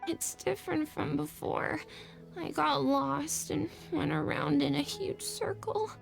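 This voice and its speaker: A woman's voice: high voice, unhurried, vocal fry, music in the background, exaggerated voice. A feverish scared little boy. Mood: frightened. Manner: weak, frightened and tearful. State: feverish and dizzy. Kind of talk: video game voice acting.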